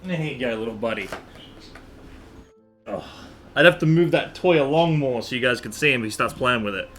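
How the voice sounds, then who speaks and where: A man's voice: medium pitch, a little fast, a bit bright. A man chatting casually as he streams a game from a room at home. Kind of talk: casual live stream chat. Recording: roomy, echoey stream with faint music. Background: faint game music.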